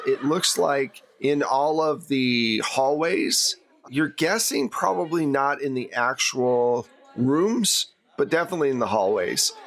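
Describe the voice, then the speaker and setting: A man's voice: medium pitch, a bit bright. Conversational man running a tabletop game. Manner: conversational, explanatory. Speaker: game master. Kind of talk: improvised tabletop game narration.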